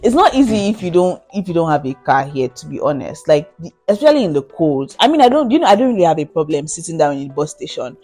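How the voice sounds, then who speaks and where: A woman's voice: deep voice, very animated, quick pace, few pauses, warm tone, slightly rough, faint music behind. A young woman with a Nigerian accent chatting casually, telling anecdotes in an emphatic way and often repeating phrases for stress. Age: young adult. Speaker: guest, international student. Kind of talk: casual chat, personal anecdotes.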